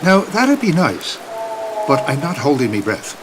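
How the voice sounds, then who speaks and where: An actor playing a wry, brave priest in a video game, with an Irish accent and a dry, folksy, unflappable manner. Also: male voice, on the high side, wildly swooping pitch, measured pace. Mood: wry, calm. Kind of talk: video game cutscene acting. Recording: noisy, with rain behind.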